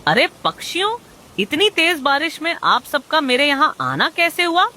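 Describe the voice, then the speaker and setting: A greedy cartoon crow, acted by a woman, high and sharp in voice. He bargains bluntly and brushes others off, grumbling over his profit in a children's moral tale. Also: very animated, moderate pace, a little hiss or hum, heavily acted. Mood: surprised. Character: greedy, grumbling male crow who sells mangoes. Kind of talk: animated children's moral story dialogue.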